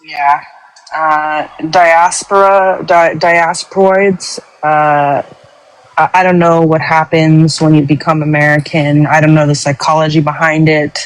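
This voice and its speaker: Slow halting guy, kinda high voice, keeps searching for words. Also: flat delivery, clear, resonant tone, no echo, low-quality recording. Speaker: panelist. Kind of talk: casual debate chat.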